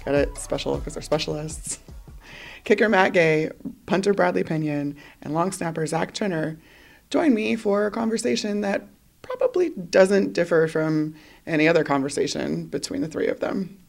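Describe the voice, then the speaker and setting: A woman's voice: on the low side, moderate pace, gravelly, darkish tone. A woman hosting a sports podcast, reading a scripted introduction with an upbeat, polished delivery. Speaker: podcast host. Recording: voice-over with music underneath.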